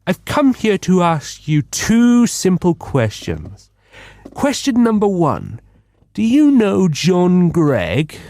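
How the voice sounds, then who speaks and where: An actor playing a bumbling, self-important London detective with a pompous, eager manner in a comedic radio drama. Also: male voice, sits high, huge pitch swings, slow, warm tone, dry recording, clean studio sound, heavily acted. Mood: deliberate.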